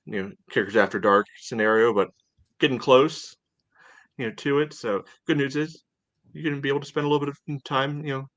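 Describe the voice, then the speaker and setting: A middle-aged man hosting a casual sports podcast, meandering and halting, often padding his sentences with filler phrases. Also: mid-range voice, fairly even intonation, a bit bright, dry recording. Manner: halting, meandering delivery with frequent "you know". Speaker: podcast host.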